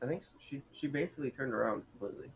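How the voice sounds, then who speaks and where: A young man in a casual group discussion about film, talking in an excitable, insistent way as a co-host. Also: mid-range voice, racing, creaky, crowd noise, poor audio. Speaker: podcast co-host. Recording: very loud background noise, clicks.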